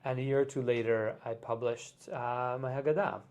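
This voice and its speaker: A man, a guest on a podcast, talking in a casual, reflective way and often pausing with "like" as he explains his ideas. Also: medium pitch, fairly even intonation, darkish tone. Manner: reflective, explains with many "like" fillers. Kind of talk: casual conversation.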